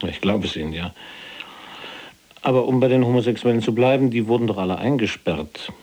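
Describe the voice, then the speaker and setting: An actor playing an imprisoned resistance fighter who questions soberly and insistently in a husky voice in a radio drama. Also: male voice, medium pitch, fairly quick, darkish tone, natural voice. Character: imprisoned male resistance fighter, probing, principled, persistent.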